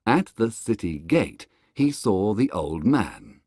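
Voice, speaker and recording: A man narrating a scripted fairy tale in a dramatic, deliberate storytelling manner. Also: lowish voice, sing-song, mellow, dry recording, studio quality.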